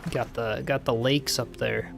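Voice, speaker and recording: A man's voice: mid-range voice, a little slow, vocal fry. Male streamer, easygoing and rambling, mid-range voice, faint music underneath, reads his chat as he builds. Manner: relaxed, rambling, reads chat aloud, frequent likes and you-knows. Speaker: game streamer. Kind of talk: casual live-stream chat while building in a game.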